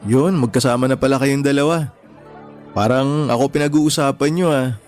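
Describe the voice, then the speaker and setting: Young Filipino man played by an actor, medium pitch, attentive and insistent suitor in radio drama, faint music. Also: fairly even intonation, moderate pace, a little mellow, close to an everyday voice. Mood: easygoing. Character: Justin, attentive, insistent young man courting his friend.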